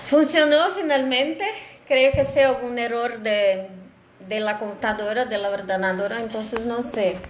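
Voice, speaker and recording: A Brazilian woman with a Brazilian Portuguese accent, a Portuguese native, speaks slowly and hesitantly in a fairly high voice, filling pauses and restarting words. She is heard over a video call in a roomy, echoey space with faint hiss behind her. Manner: halting, many fillers and restarts. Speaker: guest lecturer. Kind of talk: online lecture greeting.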